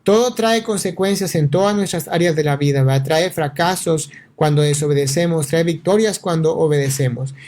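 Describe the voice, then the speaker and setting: A male pastor giving a Bible teaching on the radio in an earnest, steady way, often checking in with "right?" and restarting words. Spanish is not his first language. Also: a little high, moderate pace, hardly any pauses. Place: radio studio.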